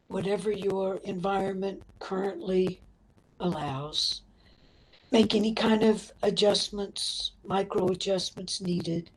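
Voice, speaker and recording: A woman leading a guided meditation as instructor, speaking gently in short, measured phrases with a breathy, husky tone. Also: medium pitch, low-quality recording.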